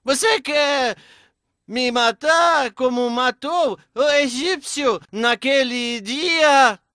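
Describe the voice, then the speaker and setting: A man voicing a desperate, quarrelsome man pleading in a drawn-out wail, in a dubbed animated story. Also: extremely high pitch, dry recording, studio quality, exaggerated voice. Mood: alarmed accusing.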